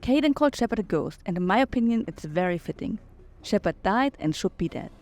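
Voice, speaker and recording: A woman's voice: mid-range voice, animated, fairly quick, a little mellow. A woman giving her opinions in commentary on a video game playthrough.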